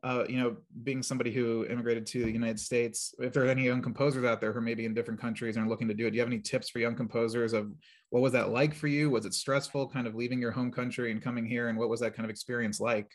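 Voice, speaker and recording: A man's voice: medium pitch, level tone, rapid-fire. A man hosting a casual interview, speaking in an effusive manner. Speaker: interview host.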